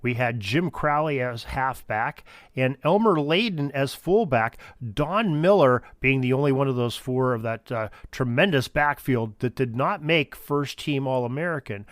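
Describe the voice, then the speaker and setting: A man's voice: mid-range voice, animated, unhurried, no room sound, clean studio sound. A man hosting a sports history podcast, talking informally in a rambling, folksy way. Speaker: podcast host.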